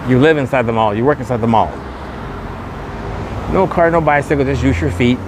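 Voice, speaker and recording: A man's voice: mid-range voice, brisk. Man narrating outdoors over street noise. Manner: repetitive tour-guide patter. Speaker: podcast host and vlogger. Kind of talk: casual walking tour narration. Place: outdoors on a windy street at a shopping mall. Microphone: camera microphone with a wind guard.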